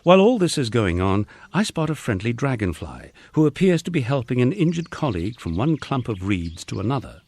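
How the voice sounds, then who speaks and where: A man narrating a first-person memoir in a measured, storytelling way, as an audiobook reader. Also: mid-range voice, very animated, darkish tone, no room sound.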